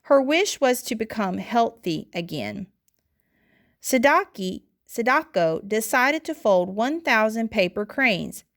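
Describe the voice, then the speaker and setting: A woman reading an article aloud as a teacher would for students, in an instructional, deliberate way with the odd stumble over words. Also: on the high side, very animated, fairly bright tone. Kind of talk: read-aloud lesson narration.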